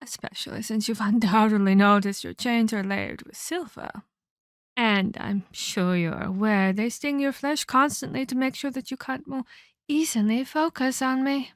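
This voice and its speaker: A woman's voice: on the high side, no echo, studio quality, lightly acted. A woman voicing a menacing female vampire captor in a scripted ASMR roleplay, theatrical and mockingly sweet as she scolds and condescends. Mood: mock-sweet menace. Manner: theatrical, mock-polite, slow deliberate scolding.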